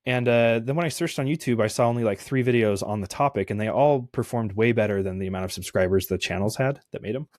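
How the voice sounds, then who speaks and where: A man's voice: on the low side, fast, hardly any pauses, darkish tone, no echo, studio quality. A young man, an indie game developer, talking casually in an interview and rambling with many fillers and restarts.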